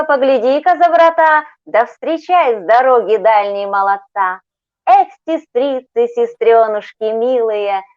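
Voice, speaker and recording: A woman's voice: a little high, muffled recording. A woman from Russia reciting her poem in a lilting, folk-style manner over a call. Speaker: poet. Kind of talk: slow poetry recitation.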